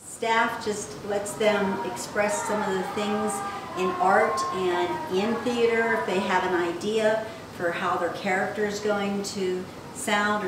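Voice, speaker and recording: A woman giving informal, unscripted answers in an interview. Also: medium pitch. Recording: echoey room from far off, background noise.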